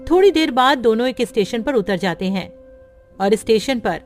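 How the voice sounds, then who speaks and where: A woman tells a cartoon story for children in a gentle, even storytelling voice that sits high. Soft music plays beneath her. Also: normal speed. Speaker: narrator.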